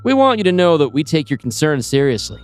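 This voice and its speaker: A man voicing an older police chief in an audio drama, courteous, measured and politely evasive. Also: on the high side, sing-song, clear, resonant tone, soft background music, pitched above the performer's own voice. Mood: smooth, official. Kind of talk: audio drama table-read acting.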